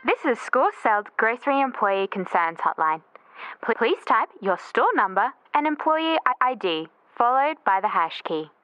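Lo-fi slightly noisy line, woman playing automated hotline machine, female, lively intonation, formulaic, polite, audio drama. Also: fairly high pitch, moderate pace. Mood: formal, polite. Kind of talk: scripted automated phone greeting. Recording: dull, noisy phone-line sound with clicks.

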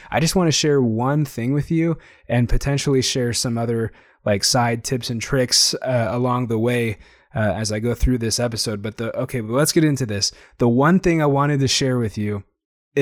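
A young man hosting his own podcast, talking casually and eagerly with a slightly hoarse, tired voice. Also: medium pitch, no room sound, studio quality. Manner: chatty, enthusiastic, frequent fillers. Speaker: podcast host. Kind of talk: casual solo podcast monologue.

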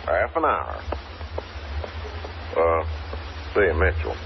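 Folksy, chummy male tourist with a rural American twang, low voice, muffled old radio sound. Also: wildly swooping pitch, drawn-out words, gravelly, background noise, heavily acted. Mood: coaxing. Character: folksy, nosy, jovial American tourist man. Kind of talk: 1950s radio drama acting.

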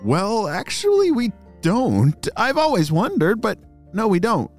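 A man voicing Luca, an eager young boy tortoise with a high, excited way of talking. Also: measured pace, soft background music, exaggerated voice.

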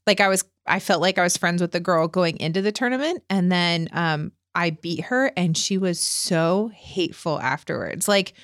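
A woman's voice: lowish voice, moderate pace, hardly any pauses, fairly bright tone, no echo, studio quality. A woman in her forties hosting a casual interview, chatty and laughing often as she talks. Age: 40s. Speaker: podcast host.

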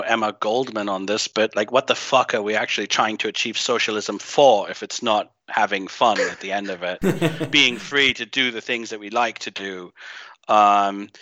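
A man's voice: medium pitch, bright, forceful tone, dry recording. A man who grew up in Greece, a guest in a casual interview, talking bluntly with swearing and plenty of ums and restarts. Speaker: podcast guest. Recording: slightly muffled dry recording.

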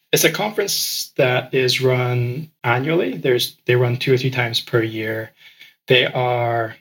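A man who grew up in Ecuador, a podcast guest telling his story in a relaxed conversational way, often repeating words as he restarts sentences. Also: medium pitch, rough recording. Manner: frequent word repeats and restarts.

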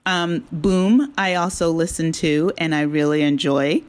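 Chatty woman with a lower-pitched voice. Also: unhurried. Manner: chatty, appreciative, laughs easily. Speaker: interview guest, audio drama creator. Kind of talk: casual conversational interview.

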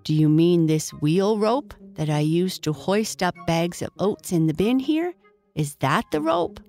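A friendly farmhand from a children's storybook, read by a woman, practical and reassuring as he calls out. The delivery is lively in pitch, with faint music behind it. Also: unhurried. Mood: concerned. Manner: calling out, reassuring. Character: grown man, friendly barn worker, reassuring, practical.

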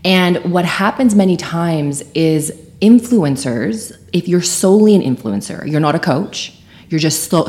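A woman with a slightly low, clean, clear voice who co-hosts a casual podcast. She explains ideas confidently and keeps her points steady. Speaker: podcast co-host.

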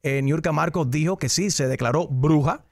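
Man, talk-show host, formal, hesitant, slightly high voice, dry recording. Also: moderate pace, no echo.

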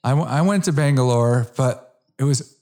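Man in his fifties, mid-pitched voice, chatty interviewer, fond of "right?" and quick restarts. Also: warm tone. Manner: conversational, restarts, frequent "you know" and "right?". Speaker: interview host. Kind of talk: casual interview.

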